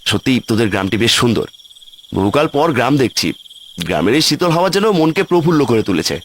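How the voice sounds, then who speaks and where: A man playing an excitable city office worker on a village trip in a cartoon ghost story. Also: medium pitch, brisk, a little hiss or hum. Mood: cheerful. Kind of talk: cartoon horror story voice acting.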